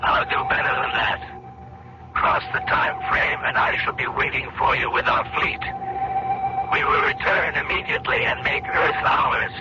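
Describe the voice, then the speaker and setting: An actor playing an imperious, excitable alien planet controller in a radio drama, heard through a distorted radio transmission. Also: male voice, medium pitch, normal speed, loud music behind the voice. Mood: eager, imperious. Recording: muffled, very noisy radio transmission sound.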